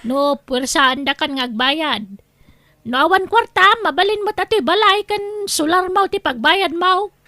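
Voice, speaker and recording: A woman's voice: very high voice, measured pace, sharp tone, no room sound, studio quality, heavily acted. A woman with an Ilocano accent voicing a proud, demanding female moneylender in an audio drama. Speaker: voice actor.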